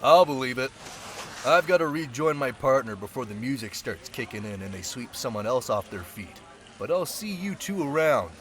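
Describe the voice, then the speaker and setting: A man's voice: mid-range voice, animated, moderate pace, fairly bright tone, a little hiss or hum, close to an everyday voice. A man playing a friendly, sociable guy in an audio roleplay, talking in a casual, chummy way. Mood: friendly, upbeat.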